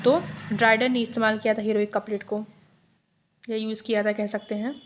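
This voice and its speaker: A woman teacher going through exam multiple-choice questions, reading each one out slowly and then explaining it. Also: a little high, little pitch movement.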